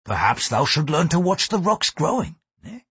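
A man reading a husky, probing sea pilot. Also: on the high side, big pitch swings, a bit bright, no echo, voice pushed up, full character voice. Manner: archaic thee-and-thou speech, measured and probing. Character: blunt English sea pilot, man in his prime, wary.

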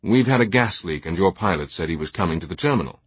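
A man's voice: low voice, expressive, fast talker, slightly rough, no echo. Man acting a flustered, apologetic airport official. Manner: deferential, uneasy.

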